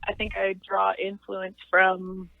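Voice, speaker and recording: A young woman with a low voice, chatting in an interview as a singer-songwriter, thoughtful and halting with many restarts. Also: monotone, vocal fry, no room sound. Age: young adult. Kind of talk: casual interview chat. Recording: tinny phone line.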